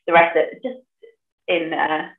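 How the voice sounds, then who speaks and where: A woman's voice: deep voice, flat delivery, a little slow, gravelly. A woman from northeast England with an English accent, a guest author and poet talking in conversation about her work. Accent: English, with a lost Geordie accent put on for the poem. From Sunderland, northeast England. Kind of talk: conversation and poetry reading. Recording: thin phone-like sound, roomy echo.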